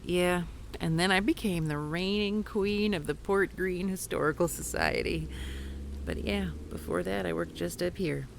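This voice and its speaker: Woman plays a confident middle-aged former academic for an audio drama, dry teasing wit, low and gravelly. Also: a little slow, a little hiss or hum. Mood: wry. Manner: dry, teasing, takes charge, swears casually. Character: wry, self-assured disgraced former woman professor. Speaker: historical society leader. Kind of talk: scripted audio roleplay.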